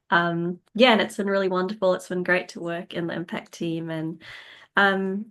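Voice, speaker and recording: A young woman, a solicitor, introducing herself briefly and in a friendly way on a video call webinar. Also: fairly low pitch. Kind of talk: short self-introduction on a webinar.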